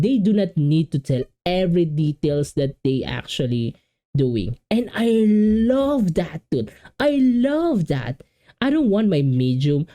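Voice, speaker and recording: A man's voice: high-pitched, dark tone. A man from the Philippines with a Filipino accent, whose first language is Filipino, reacting casually on video, rambling and often repeating and restarting words.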